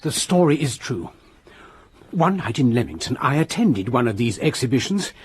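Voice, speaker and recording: A man's voice: medium pitch, big pitch swings, moderate pace, slightly rough. A man playing a reflective Englishman who recalls a past evening in a radio drama.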